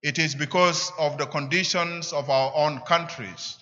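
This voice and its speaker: A middle-aged man with a South Sudanese accent preaches a sermon deliberately, in short emphatic phrases, at a church lectern. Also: on the high side, fairly even intonation, sharp tone. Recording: echoing church, slightly dull sound.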